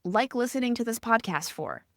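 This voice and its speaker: A woman from the Midwest with a Midwestern accent, hosting a podcast interview in a gentle, reflective way with a sweet, calming voice. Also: fairly high pitch, moderate pace, no room sound, clean studio sound. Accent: Midwestern American. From American Midwest. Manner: gentle, reflective, asks thoughtful questions. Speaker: podcast host.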